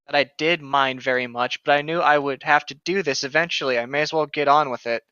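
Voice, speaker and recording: A man's voice: a little high, a little fast, bright, forceful tone, smooth, no echo. A man co-hosting a joking book read-along, sarcastic, mock-serious and freely profane, on a slightly lo-fi mic. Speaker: podcast co-host reading a novel aloud.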